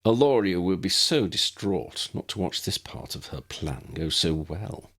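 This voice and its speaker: A man's voice: low-pitched, lively intonation, fairly bright tone, breathy edge, full character voice. Man voicing a cold, sneering aristocratic villain. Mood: cold, smug. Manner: disdainful, smug, drawn-out delivery.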